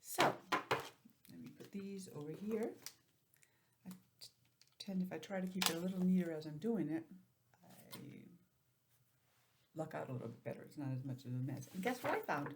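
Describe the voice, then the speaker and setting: A woman's voice: fairly low pitch, expressive, moderate pace, pauses often, noisy background. A woman teacher leading an interactive lesson for young children, asking questions and adding chatty asides, recorded at home on a laptop. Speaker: preschool or early grades teacher. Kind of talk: interactive calendar lesson for young children over video call. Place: indoors at home, speaking into a laptop.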